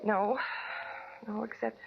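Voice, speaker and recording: An actress playing an anxious, refined young wife in a 1940s radio drama, speaking earnestly and politely with some hesitation. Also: female voice, medium pitch, level tone, slow, creaky, background noise. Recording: dull, lo-fi 1940s radio recording.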